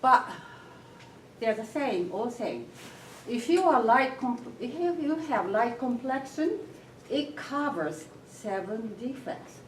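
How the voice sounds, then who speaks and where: A woman who grew up in Japan, speaking thoughtfully with frequent pauses in a group discussion. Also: a little high, expressive. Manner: thoughtful, pausing often with fillers. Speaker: forum participant. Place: meeting room with a group. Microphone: room mic at a distance. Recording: echoey room, distant mic, faint noise.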